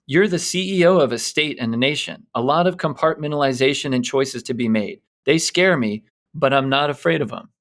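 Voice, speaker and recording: A man's voice: medium pitch, brisk, hardly any pauses, a bit bright. A man co-hosting a podcast, casually retelling news stories in a bantering talk style. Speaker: co-host presenting a news quiz segment.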